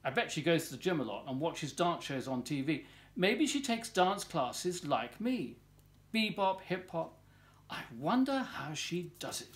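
An older man in his sixties reads a children's picture book aloud. His voice sits a little high for a man and moves up and down in a lively way. He tells the story expressively and deliberately, recorded at home in a living room. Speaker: volunteer story reader.

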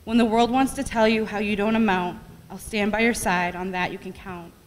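Middle-aged female amateur poet, mid-pitched, reading at a podium in a very reverberant room. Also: fairly even intonation, fairly quick, a little hiss or hum. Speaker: poetry reader. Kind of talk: reading own rhyming poem aloud at an event. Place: library meeting room, at podium. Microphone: podium gooseneck microphone. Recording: echoey hall with long reverb.

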